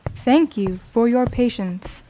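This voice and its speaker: A woman playing a polite, formal AI guide in an audio drama, measured and courteous like a system announcer. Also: fairly high pitch, animated, dark tone, a little hiss or hum. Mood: formal, apologetic.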